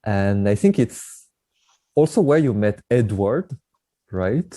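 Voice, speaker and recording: A man with a fairly low, mellow voice hosts an interview podcast. He talks eagerly and chattily, laughing easily between thoughts. The sound is close and dry, with no room around it. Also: expressive, slow pace, no echo. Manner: enthusiastic, chatty, laughs often. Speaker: podcast host. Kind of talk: casual interview, scripted intro.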